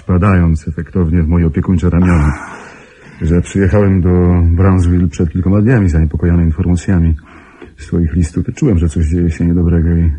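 An actor playing a self-assured, gently teasing suitor and amateur sleuth who explains wryly in a radio play. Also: male voice, deep voice, husky. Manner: explanatory, wry. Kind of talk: Polish radio drama acting.

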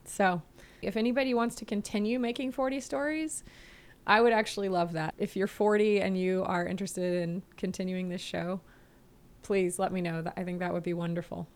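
A woman's voice: mid-range voice, steady tone, a little fast. A woman in her forties hosting her own podcast, speaking in a friendly, grateful way and laughing easily. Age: 40s. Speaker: podcast host and interviewer. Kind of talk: podcast intro and interviewing. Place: home.

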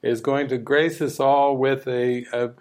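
A man, a spiritual teacher, introducing a guest in slow, measured phrases, pausing for his interpreter. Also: mid-range voice, little pitch movement. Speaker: spiritual teacher hosting a session. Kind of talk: gentle hosted introduction.

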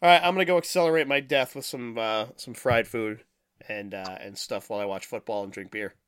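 A man's voice: medium pitch, brisk, fairly bright tone, clean tone. A man from the United States hosting a casual podcast chat, jokey and sweary with plenty of exclamations. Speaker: podcast host.

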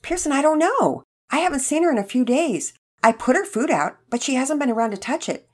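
A sweet old widower as a woman narrator acts him out, raised in pitch and full of swoops. He talks in a gentle, folksy, rambling way, frail and grateful, like a character in a children's storybook. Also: lively intonation, fairly quick. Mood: worried, sad. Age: older.

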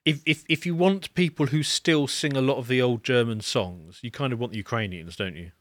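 A man with a mid-range voice co-hosts a podcast in relaxed, conversational talk. He often stumbles and repeats words as he starts a point. The recording is close and dry. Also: medium pitch, brisk, dry recording. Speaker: podcast co-host. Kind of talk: casual podcast chat.

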